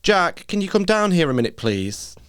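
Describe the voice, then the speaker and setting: A man's voice: sits high, very animated, normal speed, a bit bright, clear, resonant tone, no echo, studio quality, voice pushed up. A man voicing an exasperated, bewildered woman, a parent who questions insistently, in a story reading.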